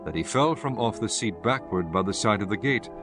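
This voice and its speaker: Man, lowish voice, solemn scripture narration, measured reading, clear background music. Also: expressive.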